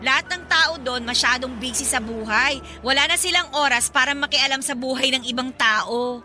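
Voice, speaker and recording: Woman playing young mother, high pitch, very bright edge, sarcastic, defiant, radio drama, music underneath. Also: moderate pace. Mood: defensive, scornful. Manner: polite but pointed, sarcastic.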